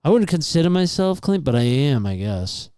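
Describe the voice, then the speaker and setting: Soft-spoken young guy, mellow and dry. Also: fairly high pitch, big pitch swings, normal speed, no echo. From Massachusetts. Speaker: guest, comedian and school custodian. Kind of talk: casual podcast chat.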